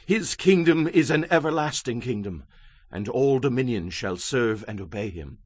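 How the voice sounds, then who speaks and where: Solemn biblical prophet, widely varied intonation, husky timbre, dramatic scripture reading, dry close sound. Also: male voice, mid-range voice, animated, normal speed, a little mellow, dry recording. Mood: solemn, exalted. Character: the prophet Daniel, man recounting awe-filled visions. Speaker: Bible narrator.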